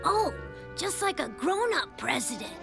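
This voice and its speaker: A woman's voice: very high voice, big pitch swings, a little slow, vocal fry, exaggerated voice. A woman voicing a naive, puzzled little boy in a cartoon, who sounds full of wonder. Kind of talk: cartoon voice acting. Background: cartoon music.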